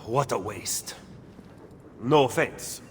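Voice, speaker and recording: Skeptical, animated strategist. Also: male voice, mid-range voice, slow pace. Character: skeptical, brisk Italian political strategist. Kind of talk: video game cutscene acting. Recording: long reverb, faint background noise.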